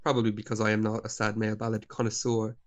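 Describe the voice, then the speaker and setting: Relaxed, self-mocking man co-host. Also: on the low side, quick pace. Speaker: podcast co-host. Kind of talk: casual chat.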